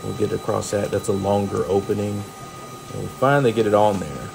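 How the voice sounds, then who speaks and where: A man's voice: lowish voice, level tone, brisk, vocal fry, over music. A middle-aged American man narrating a casual how-to as a welder, in a laid-back, folksy way. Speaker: welder hosting a tutorial.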